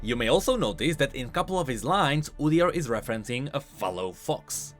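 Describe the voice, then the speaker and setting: Animated man explaining game lore. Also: medium pitch, a little fast, faint music behind. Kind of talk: scripted explanatory commentary.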